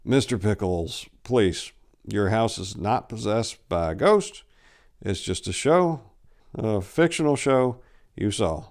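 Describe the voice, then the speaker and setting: A man playing a drunk, irritated young priest in a story reading, placating with halting, weary politeness. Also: on the low side, animated, darkish tone.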